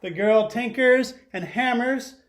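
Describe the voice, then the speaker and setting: A man's voice: extremely high pitch, slow. A middle-aged man giving a children's lesson and read-aloud, speaking in simple phrases and often addressing questions to young viewers. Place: living room at home, indoors.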